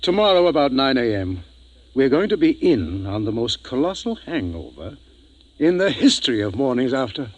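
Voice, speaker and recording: An actor playing an excitable male doctor on a space expedition in a 1950s radio drama. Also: mid-range voice, moderate pace, a little hiss or hum, lightly acted. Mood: relieved, droll.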